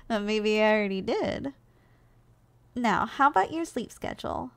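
Woman as a gentle, higher-voiced giantess secretary. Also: animated, slow pace. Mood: doting, playful. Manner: soft, coaxing, nurturing, teasingly affectionate. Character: gentle, doting giantess secretary caring for her shrunken boss. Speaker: ASMR role-play performer. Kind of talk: ASMR role-play.

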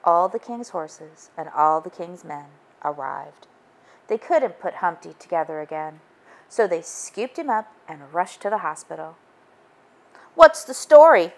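A woman's voice: deep voice, measured pace, poor audio. A woman narrating a children's storybook as a read-aloud. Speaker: storybook reader.

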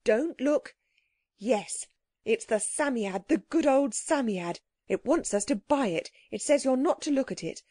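A woman's voice: a little high, animated, measured pace, mellow, husky, no echo, voice pushed up. A woman voicing an earnest young boy who gives urgent, insistent commands.

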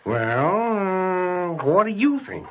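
An actor playing a flustered, folksy cowboy sidekick who stammers in a rustic way in a vintage western radio comedy-drama. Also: male voice, sits high, sing-song, drawn-out words, highs cut off, heavily acted. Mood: puzzled. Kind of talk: 1950s western radio acting. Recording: old radio recording, faint hiss, clicks.

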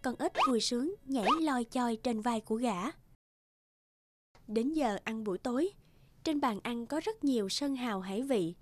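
A woman's voice: a little high, normal speed. A woman narrator reading a scripted fairy tale in a storytelling style. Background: music.

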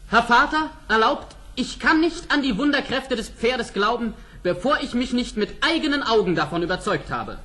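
An actor playing an earnest, outspoken young prince in a fairy-tale radio play, speaking formally and emphatically. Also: male voice, very high-pitched, expressive, moderate pace, few pauses, bright, forceful tone, heavily acted. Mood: doubtful. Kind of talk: radio play acting for children.

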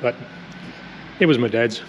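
A man's voice: mid-range voice, measured pace, dull audio. A man casually talking through his aircraft build as he works, thinking aloud with plenty of hesitations. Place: large metal hangar workshop. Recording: echoey hangar, faint background noise.

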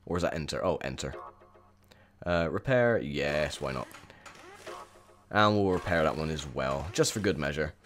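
Deep-voiced man, croaky throat. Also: lively intonation, moderate pace, pauses often, faint music behind. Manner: chatty, rambling with many ums. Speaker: gaming video host. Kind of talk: casual gameplay commentary.

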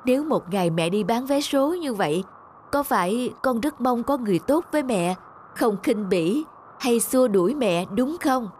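Woman acting a gentle, patient mother, fairly high-pitched. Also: expressive, moderate pace, slight background noise, close to an everyday voice. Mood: gently instructive. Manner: gentle, instructive, polite. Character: kind, patient young mother teaching her daughter compassion. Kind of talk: cartoon moral tale dubbing.

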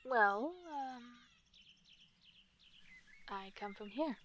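Woman as a hushed, bright-voiced wolf fairy. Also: fairly high pitch, drawn-out words, muffled recording. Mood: calm, reserved. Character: guarded, protective female wolf fairy of the forest. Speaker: ASMR roleplay performer. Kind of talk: soft ASMR roleplay, often whispered. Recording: nature ambience and birdsong noise. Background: forest sounds, birdsong.

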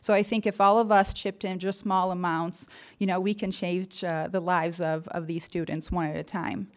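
A woman speaking informally and haltingly as a presenter at a podium in a lecture hall. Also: fairly low pitch, little pitch movement. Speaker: event presenter. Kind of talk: informal speech introducing speakers and thanking supporters.

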